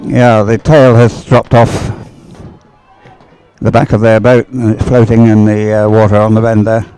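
Mellow-voiced male race commentator. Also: on the low side, level tone, measured pace, smooth, faint music behind, poor audio. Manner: conversational, hesitant, musing aloud. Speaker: sports commentator. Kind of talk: live dragon boat race commentary.

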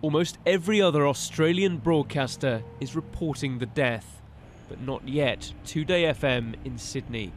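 Male reporter, raised pitch. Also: moderate pace, a little hiss or hum. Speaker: television news reporter. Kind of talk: scripted news report.

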